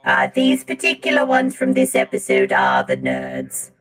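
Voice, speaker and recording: A performer voicing a chatty, mildly vain robot woman with a drifting British accent, halting and polite in an improvised podcast bit. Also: very deep voice, huge pitch swings, a bit bright, heavily acted. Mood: mildly snobbish.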